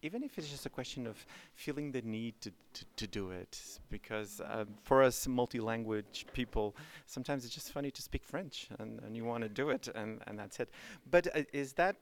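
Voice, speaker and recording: A man's voice: mid-range voice, normal speed, hardly any pauses. A man hosting a podcast, chatting casually with his guest and often restarting his sentences. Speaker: podcast host. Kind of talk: conversational interview.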